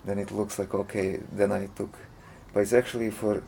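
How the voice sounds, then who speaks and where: A man's voice: lowish voice, flat delivery, normal speed, gravelly, warm tone, a little hiss or hum. A man from Croatia, speaking English as an in a hesitant interview, full of fillers and restarts. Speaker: interview guest, monk. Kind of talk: casual interview talk.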